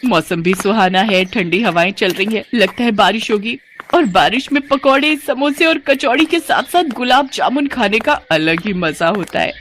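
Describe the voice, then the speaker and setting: A woman's voice: mid-range voice, animated, moderate pace, fairly bright tone, a little hiss or hum, natural voice. A woman voicing a carefree, defiant, food-obsessed young daughter-in-law in a cartoon moral story, with a whiny, self-indulgent manner. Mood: eager.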